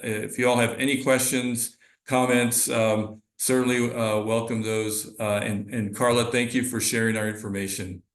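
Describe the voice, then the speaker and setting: An earnest, middle-aged man speaks as a guest advisor on a video call. His voice is mid-pitched, and he talks haltingly, often backing up to restart a phrase. The room around him sounds noticeably echoey. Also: little pitch movement. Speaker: financial advisor. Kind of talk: guest speaker in online training. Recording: roomy echo on call audio.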